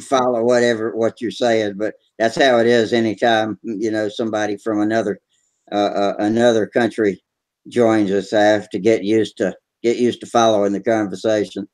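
A man's voice: fairly low pitch, flat delivery, normal speed, a little mellow. An older man hosting a casual video call chat, easygoing as he explains things. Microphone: webcam on a video call.

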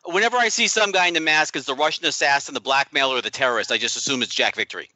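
A man's voice: mid-range voice, very fast, bright, forceful tone, no echo. A man co-hosting a podcast who makes wry jokes in a casual way. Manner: wry, joking. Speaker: podcast co-host.

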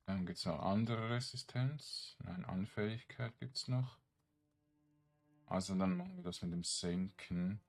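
A man giving casual game commentary, thinking aloud in a halting mutter as he plays. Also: lowish voice, lively intonation, soft background music. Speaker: gaming streamer.

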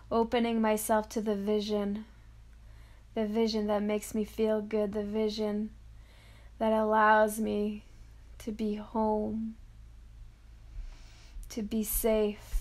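Slow, high-voiced woman guiding meditation. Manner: soft, drawn-out phrases with long pauses. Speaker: meditation guide. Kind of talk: guided meditation affirmations.

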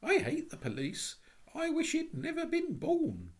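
A man voicing an eager, earnest young girl who pleads in a high, breathless rush. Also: huge pitch swings, a little slow, full character voice.

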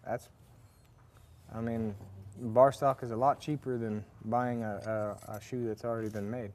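A man's voice: medium pitch, steady tone, measured pace, a little mellow, a little hiss or hum. A man giving a casual, rambling farrier demonstration at a workshop with forges, with lots of fillers and restarts. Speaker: farrier instructor. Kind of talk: casual hands-on demonstration talk.

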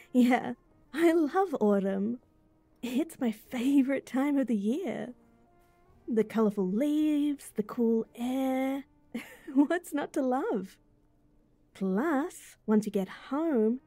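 A woman voicing a sweet, bubbly young rental girlfriend in an intimate roleplay audio, giggly, chatty and gently reassuring. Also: sits high, dry recording, heavily acted. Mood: admiring, cozy. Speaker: voice actor.

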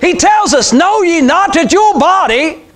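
High-pitched preacher man in his sixties. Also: expressive, unhurried, a bit bright. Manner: emphatic, repetitive, calls out amens and rhetorical questions. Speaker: pastor preaching to a congregation. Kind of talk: informal, fervent extemporaneous sermon. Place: small church sanctuary, indoors. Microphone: clip-on lapel mic.

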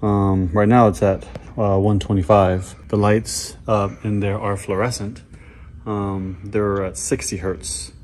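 A man's voice: fairly low pitch, flat delivery, takes it slowly, darkish tone, slight background noise. A man in his thirties explaining things casually and off the cuff as a videographer. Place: large indoor church hall.

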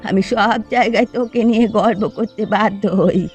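A woman's voice: mid-range voice, steady tone, brisk, close to an everyday voice. Woman acting proud elderly village mother, music behind. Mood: sad, grateful. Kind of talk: Bengali cartoon dialogue.

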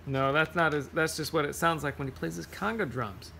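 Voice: medium pitch, fairly quick, vocal fry, pushed and bright. A person reading a picture book aloud to children, playful, with singsong rhymes, scat sounds and casual explanations.

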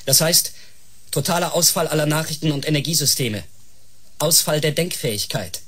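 Radio play role: imperious male space captain, clipped commands, somewhat higher-pitched. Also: expressive, normal speed, a bit bright, husky. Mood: cold, threatening. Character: cold, commanding spaceship captain issuing ultimatums. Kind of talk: German science-fiction radio drama acting.

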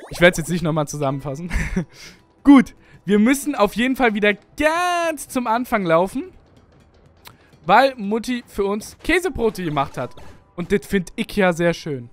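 A man's voice: sits high, sing-song, measured pace, faint music behind. A young man with a Berlin accent, chatting casually as he plays through a video game for his channel. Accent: Berlin German. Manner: chatty, rambling, self-mocking. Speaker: let's play streamer. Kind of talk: casual gameplay commentary. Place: home gaming setup, indoors. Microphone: studio mic on arm, headphones.

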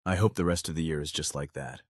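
A man's voice: a bass voice, fast talker, dry recording, studio quality. A man playing a jaded, awkward teenage student in a visual novel, speaking with wry detachment. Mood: detached, wry. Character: jaded, awkward teenage male human student narrating his life. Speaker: narrator and lead voice actor. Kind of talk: first-person visual novel narration and dialogue.

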